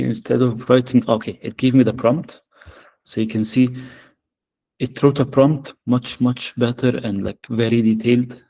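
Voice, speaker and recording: Arabic-accented male workshop presenter, mellow tone, halting delivery full of "uh"s, on a video call. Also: mid-range voice, gravelly, low-quality recording. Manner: hesitant, many fillers. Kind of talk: live software demo.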